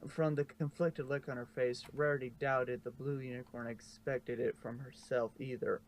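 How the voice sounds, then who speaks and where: A man's voice: medium pitch, fairly even intonation, normal speed, a little hiss or hum. A man narrating fan fiction as an amateur story reader.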